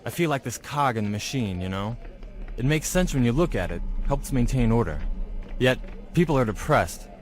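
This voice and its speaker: A man's voice: mid-range voice, lively intonation, moderate pace, natural voice. An actor playing a blunt, casual, cynical but friendly male buddy in a video game cutscene.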